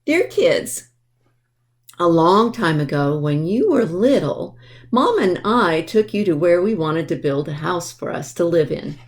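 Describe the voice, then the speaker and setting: Slow-paced woman reading for children. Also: on the low side, very animated. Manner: explanatory, talks directly to young listeners with simple questions. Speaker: library storytime reader. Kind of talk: reading a picture book aloud to children.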